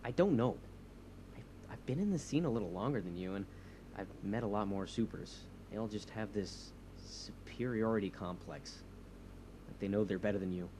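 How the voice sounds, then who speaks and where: A man's voice: lowish voice, moderate pace, noisy background, close to an everyday voice. A man playing an insecure young superhero leader, hesitant and earnest, in naturalistic audio drama dialogue. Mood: nervous, insecure. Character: insecure young male superhero leading a movement.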